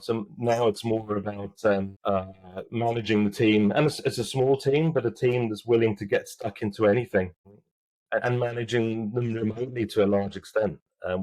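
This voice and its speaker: A man answering podcast interview questions as a mining company executive, halting with frequent restarts and fillers. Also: lowish voice, a little mellow, no room sound, poor audio.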